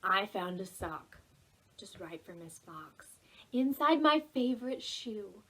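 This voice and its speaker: Female children's storytime reader, very lively intonation, unhurried delivery, playful and talking straight to little ones. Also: mid-range voice, husky. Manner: expressive, playful, speaking directly to young children. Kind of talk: animated preschool storytime read-aloud.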